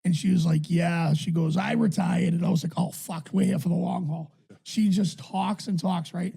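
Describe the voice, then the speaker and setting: Raspy man with a gravelly voice. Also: high-pitched, steady tone, normal speed, warm tone. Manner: swearing, laughing, doing voices in stories. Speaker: podcast co-host. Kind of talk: casual chat, storytelling.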